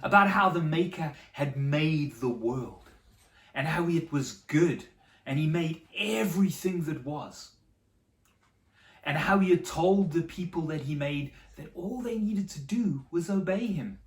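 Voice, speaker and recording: Storyteller for a children's Bible tale, pausing and repeating for drama, heard from a distance in a roomy space. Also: medium pitch, animated. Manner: dramatic pauses and repetition for emphasis. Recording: echoey room, distant microphone.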